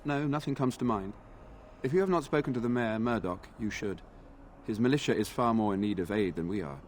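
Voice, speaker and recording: A man playing an earnest, courteous knight commander in a fantasy video game, speaking in a formal, measured way. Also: lowish voice, a little hiss or hum, close to an everyday voice.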